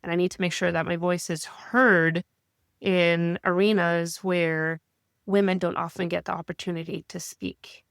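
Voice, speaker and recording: A woman in her thirties who is getting over a cold, speaking as a guest in an interview in a measured, reflective way. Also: on the low side, little pitch movement, creaky, fairly bright tone, clear, resonant tone, dry recording, studio quality. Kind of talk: interview conversation.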